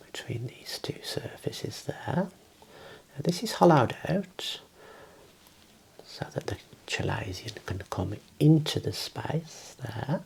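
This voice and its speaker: A soft, breathy whisper in an ASMR medical role play, gently and politely explaining and instructing step by step as a clinician. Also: mid-range voice. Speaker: ASMR performer playing a clinician.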